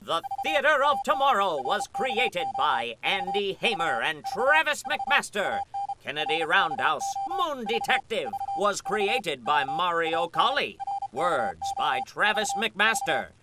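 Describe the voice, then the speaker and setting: A performer playing a grand old-time radio serial announcer, reading credits with theatrical, deliberate enunciation. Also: medium pitch, sing-song, heavy background noise. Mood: showy, upbeat. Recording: loud music under the voice.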